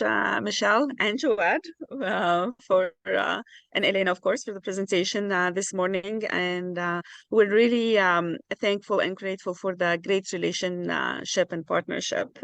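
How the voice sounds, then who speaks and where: A woman giving halting, thankful welcome words with many fillers over a video call. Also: medium pitch, gravelly, fairly bright tone, dry recording. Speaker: partner organisation representative.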